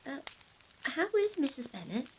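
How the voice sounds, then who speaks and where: A woman's voice: high voice, big pitch swings, moderate pace, a little hiss or hum, rough recording. A woman playing a timid, apologetic, well-bred village girl in a period audio drama, hesitant and stammering.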